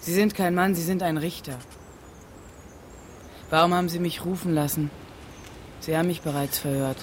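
A woman's voice: fairly low pitch, fairly even intonation, normal speed, a little hiss or hum, lightly acted. An actress playing a brusque, defiant married woman under questioning in a German radio play, answering with curt sarcasm and evasion.

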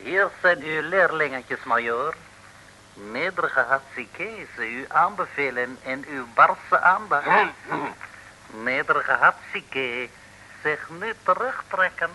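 Piercing, sly spymaster. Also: male voice, a little high, animated, slow, pushed and bright, exaggerated voice. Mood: falsely humble. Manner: falsely humble, curt, broken grammar. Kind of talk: old Dutch radio serial acting. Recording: tinny, faintly noisy old radio recording.

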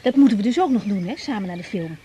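An actress in a radio drama playing a reflective, tender young woman doctor who narrates her thoughts softly and intimately. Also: mid-range voice, animated, fast, a little hiss or hum, close to an everyday voice. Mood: cheerful. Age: young adult. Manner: musing inner narration, soft and intimate. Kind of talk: 1980s radio drama acting.

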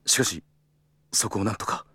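A man's voice: moderate pace, pushed and bright, no room sound, natural voice. An actor playing an earnest, principled travel agency employee in a Japanese audio drama, formal and deferential with others and blunt in his own thoughts. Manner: formal and deferential aloud, blunt in inner monologue.

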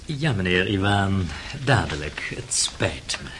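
A man's voice: low-pitched, very animated, takes it slowly, fairly bright tone, a little hiss or hum, exaggerated voice. A man playing a sly, obsequious manservant with sinister cunning in a radio play, speaking in an insinuating manner. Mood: servile, evasive. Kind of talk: Dutch radio play acting.

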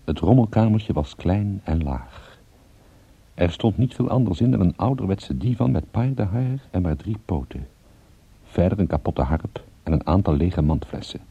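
A dry, muffled radio recording of a world-weary private eye telling his own story. His low voice is very hoarse-edged and creaky, with measured delivery and expressive intonation. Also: exaggerated voice. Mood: dry, ominous. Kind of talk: first-person radio drama narration.

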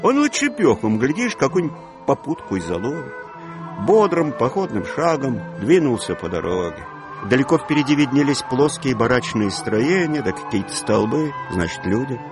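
A male narrator tells a literary story for a radio drama. His voice is medium in pitch and his delivery is expressive. Music plays clearly underneath. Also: moderate pace.

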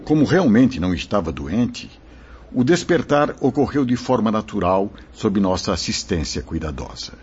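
An actor playing a gentle, solemn spirit mentor in a radio drama, fatherly and reassuring, with formal, measured delivery. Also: lowish voice, sing-song, a little hiss or hum. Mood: calm narrating. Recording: dull lo-fi radio drama recording.

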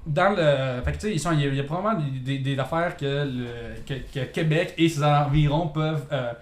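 A man's voice: a little high, fairly quick, echoey room. A man with a Québécois accent chatting casually on a podcast, rambling with lots of restarts. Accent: Québécois French. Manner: rambling, many restarts and fillers. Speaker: podcast co-host. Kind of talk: casual chat.

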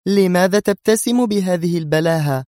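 A man voicing a nervy, scornful young woman intelligence agent in an audio drama, with a sharp, sarcastic manner. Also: high voice, fairly quick, no echo, clean studio sound, pitched above the performer's own voice, lightly acted. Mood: irritated, sarcastic.

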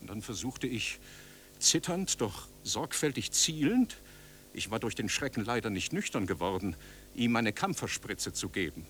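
An actor playing an agitated, tipsy doctor in a radio drama, recounting an emergency precisely and forcefully. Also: male voice, on the low side, sing-song, normal speed, slight background noise. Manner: forceful, precise, erupting into shouts. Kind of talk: radio drama narration in character.

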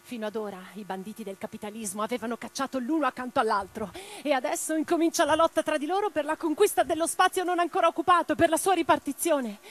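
A woman's voice: high-pitched, quick pace, hardly any pauses, close to an everyday voice. An actress playing an impassioned middle-aged socialist revolutionary woman in a theatrical radio drama. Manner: declamatory in speeches, intimate in private moments.

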